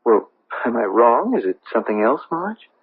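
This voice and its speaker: Husky, earnest radio-drama husband. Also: male voice, mid-range voice, big pitch swings, normal speed. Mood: concerned, earnest. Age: middle-aged. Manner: earnest, hesitant. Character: concerned, eager middle-aged businessman husband. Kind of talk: 1970s radio drama acting. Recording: tinny, phone-like old radio broadcast.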